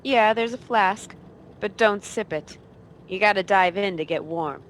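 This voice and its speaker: An actress playing a tough, teasing femme fatale, cool and wisecracking, in a noir radio play. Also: female voice, mid-range voice, measured pace, a little hiss or hum, natural voice, rough recording. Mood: cool, knowing.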